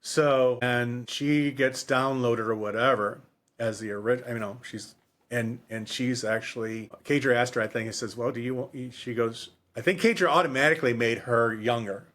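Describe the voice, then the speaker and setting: A man's voice: mid-range voice, normal speed, fairly bright tone. A man co-hosting a podcast, talking casually and off the cuff, often repeating himself and rephrasing as he goes. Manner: rambling, repeats phrases, self-correcting. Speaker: podcast co-host. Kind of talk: casual unscripted book discussion.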